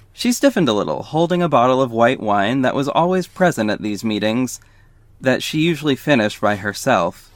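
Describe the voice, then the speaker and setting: A man's voice: mid-range voice, natural voice. A man playing a sarcastic, petty young company head who narrates an audio drama in a wry, dramatic way. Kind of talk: first-person audio drama narration and dialogue.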